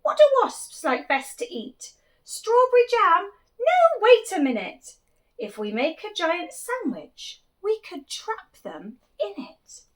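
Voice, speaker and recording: A woman voicing an excitable, bossy male village baker from a storybook, with emphatic, commanding delivery. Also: very high-pitched, takes it slowly, voice pushed up, heavily acted. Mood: excited.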